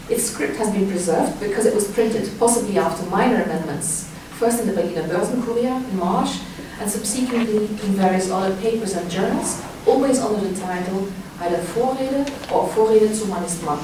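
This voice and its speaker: A woman presenting a scripted academic paper aloud in formal, measured phrasing. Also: medium pitch. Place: reverberant indoor room. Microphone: distant microphone. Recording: echoey room, distant mic, noisy background.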